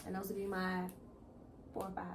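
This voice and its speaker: A woman's voice: medium pitch, monotone, a little fast, very noisy. A woman co-hosting a casual, colloquial chat about books in an easygoing way. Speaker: co-host of a book channel.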